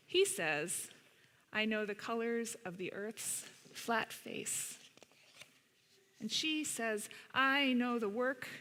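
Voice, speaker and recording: Woman storyteller, higher-pitched, bright voice, slow deliberate read-aloud for children, long hall reverb. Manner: unhurried, deliberate phrasing with pauses. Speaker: storyteller reading to children at a church service. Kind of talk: picture-book read-aloud. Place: large indoor church sanctuary.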